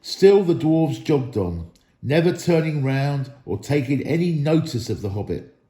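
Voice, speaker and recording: A man reading a storybook aloud as an expressive narrator. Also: mid-range voice, reverberant. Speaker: storybook reader.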